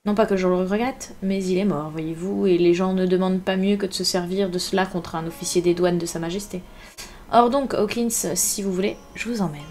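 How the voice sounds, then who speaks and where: A woman voicing a brisk, formal male customs inspector in a storybook, giving orders with an official air. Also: lowish voice, fairly even intonation, darkish tone, slight background noise, close to an everyday voice.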